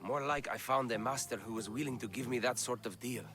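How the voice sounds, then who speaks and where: A man's voice: medium pitch, a little fast, over music, close to an everyday voice. Phaedon, a man playing a weary slave. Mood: downcast. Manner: downcast, bitter.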